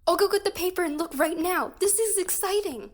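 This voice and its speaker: A woman playing an eager, excitable younger sister in an audio drama. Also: very high-pitched, brisk. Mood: excited.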